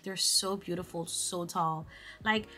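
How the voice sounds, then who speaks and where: A young woman from the Dominican Republic tells a travel story straight to her viewers in a casual, expressive way. She restarts her sentences often and leans on fillers. Soft music plays faintly behind her. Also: on the low side, measured pace, low-quality recording. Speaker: travel vlogger.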